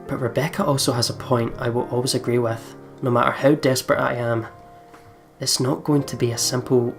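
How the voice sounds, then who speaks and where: A man hosting a let's play, reading the story aloud expressively and commenting casually. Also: medium pitch.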